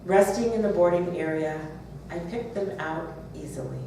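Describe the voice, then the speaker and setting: Woman author, low voice, live reading event, distant from the mic, noisy background. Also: animated, normal speed, gravelly, mellow, very echoey. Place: bookstore, audience event.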